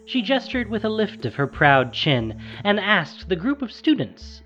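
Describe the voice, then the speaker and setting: A man narrating a scripted fantasy audio drama with measured delivery and dramatic pauses. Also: sits high, sing-song, a bit bright, highs cut off. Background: faint music.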